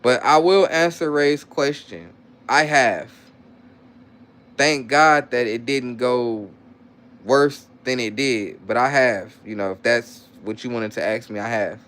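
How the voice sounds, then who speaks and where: Young guy streaming and reading chat out loud, mid pitch, smooth voice, loose slangy rambling. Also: slow. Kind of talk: casual live-stream chat.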